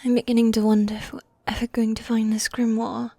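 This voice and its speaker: A woman playing a teasing, needy yet dominant goth demon girlfriend in a fantasy ASMR roleplay, soft and breathy, often whispering, close to the mic. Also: fairly high pitch, level tone, a little fast, dry recording. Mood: weary, mildly exasperated. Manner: teasing, coy, affectionate, with sighs. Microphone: close, dry microphone.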